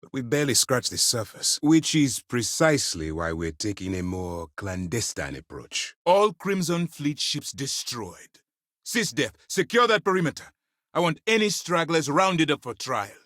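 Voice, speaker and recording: A stern commander of a space navy in a video game. His low voice is measured and formal, yet it moves widely in pitch as he gives orders and warnings. The recording is close and dry. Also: lively intonation, fairly bright tone, dry recording. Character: stern, disciplined male naval commander hunting space pirates. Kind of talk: dramatic video game dialogue.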